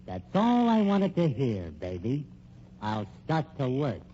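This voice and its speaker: A man's voice: medium pitch, wildly swooping pitch, slow pace, loud music behind the voice. An actor playing a slick, menacing American private detective and con man in an old radio crime drama, wisecracking and pushy in tough-guy slang. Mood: pushy, scheming. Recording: dull old radio recording, faint noise.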